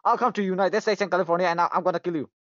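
A man's voice: high-pitched, racing, no room sound, muffled recording. Joking young man with a Russian accent. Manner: joking, stammering, excitable. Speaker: channel host. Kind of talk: casual prank chat on a voice call. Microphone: phone on a voice call.

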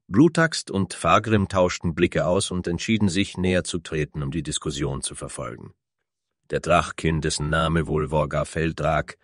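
A man's voice: sits low, no room sound, clean studio sound. A man narrating a scripted fantasy story.

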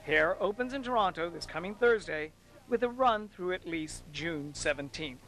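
A man delivering a scripted arts news report as a correspondent, in a measured, polished way. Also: high voice, animated, bright, forceful tone, poor audio.

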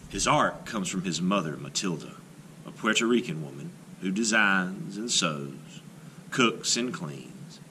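A man reading a children's picture book aloud expressively, with dramatic phrasing and deliberate pauses. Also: deep voice, sharp tone, slight background noise.

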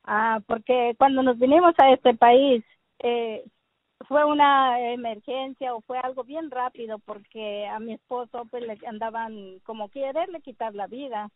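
A woman's voice: a little high, a little slow, no room sound. A middle-aged woman from Jalisco, Mexico, telling her story by phone in a hesitant way with many fillers. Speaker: caller giving testimony. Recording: dry, tinny phone line.

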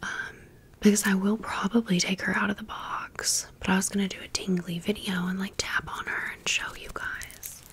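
A woman whispering in a breathy, unvoiced voice, chatting informally to viewers as friends in a casual ASMR storytime. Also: mid-range voice, level tone, moderate pace, fairly bright tone. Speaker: ASMR video creator and host.

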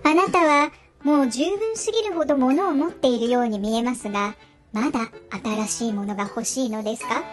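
A woman voicing a calm, wise goddess of mercy in a cartoon storybook, speaking politely and with measured admonishment. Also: high-pitched, close to an everyday voice. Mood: serene admonishing. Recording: music playing behind the voice.

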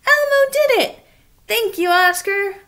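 A woman's voice: extremely high pitch, lively intonation, unhurried, pushed and bright, pitched above the performer's own voice, full character voice. A woman voicing a small, cheerful, childlike monster who eagerly talks about himself in the third person in a storybook read-aloud. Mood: delighted. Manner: speaks of self in third person.

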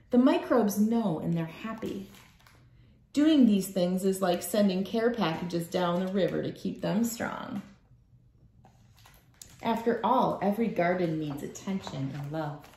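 In a noticeably echoey room at home, a woman in her thirties reads a picture book aloud. Her pitch is average for a woman, and her delivery is measured, like storytime for children. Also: darkish tone. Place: small room at home, indoors.

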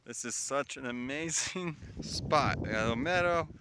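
A man with a mid-pitched voice talks casually to his handheld camera as a solo hiker. He is chatty and given to exclamations of wonder. The outdoor recording is clearly noisy. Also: lively intonation, a little slow. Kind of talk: casual vlog narration while filming. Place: outdoors in windy, open mountain terrain. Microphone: handheld phone or camera while walking.

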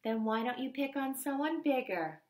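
A woman voicing a friendly, calm ladybug that speaks softly and sweetly. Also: sits high, moderate pace, voice pushed up. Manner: soft, sweet, gentle. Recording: echoey room.

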